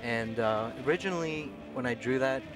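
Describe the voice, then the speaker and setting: A male drummer tells relaxed stories in an interview. He was born in Sri Lanka and grew up in the Emirates and London. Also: medium pitch, normal speed, music in the background, poor audio. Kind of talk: casual interview answers. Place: music club.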